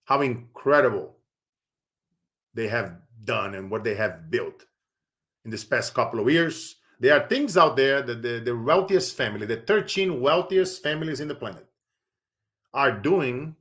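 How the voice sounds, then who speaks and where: Guy doing a casual finance video, mid-pitched voice, earnest and kinda preachy. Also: animated, normal speed, fairly bright tone. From Brazil. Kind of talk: casual promotional monologue.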